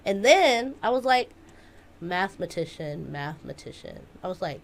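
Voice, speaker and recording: A woman's voice: lowish voice, normal speed, vocal fry, slight background noise. Chatty young woman telling stories. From United States. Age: 20s. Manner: chatty, animated storytelling. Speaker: guest, math teacher. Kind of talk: casual interview chat.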